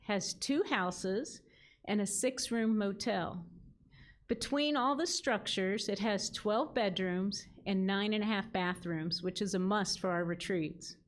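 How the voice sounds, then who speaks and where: Earnest woman giving a speech. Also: medium pitch. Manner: earnest, reading from a script. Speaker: ministry leader. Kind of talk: prepared speech at a gala.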